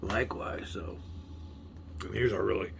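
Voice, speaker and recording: A man hosting a casual board game playthrough, rambling and chatty, muttering rules half under his breath and sounding a little hoarse. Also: low voice, fairly even intonation, normal speed, background noise. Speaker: board game video host. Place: home room with game tables.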